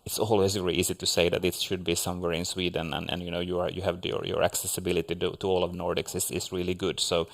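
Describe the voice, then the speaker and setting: A man's voice: low voice, level tone, quick pace, creaky, hardly any pauses. A man from Finland speaking as a panelist in a seminar discussion, hesitant with many restarts.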